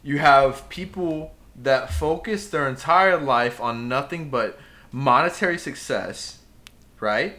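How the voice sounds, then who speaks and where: A young man co-hosts a laid-back podcast and talks in a bright, cutting tone. He gets worked up as he goes, rambling through his point and then punching it home with sudden emphatic bursts. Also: medium pitch, slow pace. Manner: impassioned ranting with emphatic outbursts. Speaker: podcast co-host. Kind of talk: casual podcast rant.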